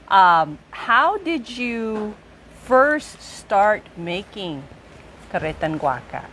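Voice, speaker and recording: A woman's voice: medium pitch, big pitch swings, slow pace, fairly bright tone. Upbeat woman host, noisy outdoor audio. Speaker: interviewer and host. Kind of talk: friendly interview hosting. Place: outdoors at the guest's home.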